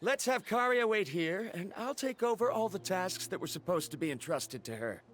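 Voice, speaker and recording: A man's voice: high-pitched, expressive, normal speed, fairly bright tone. A man voicing a proud, studious young male scholar in a video game, speaking in a formal, pedantic and blunt way. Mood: stiff, protective.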